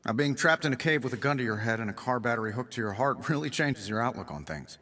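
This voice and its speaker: An actor playing a witty, self-assured male genius inventor in a video game, talking in quick wisecracks. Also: medium pitch, lively intonation. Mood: reflective. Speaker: billionaire inventor hero. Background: loud game music.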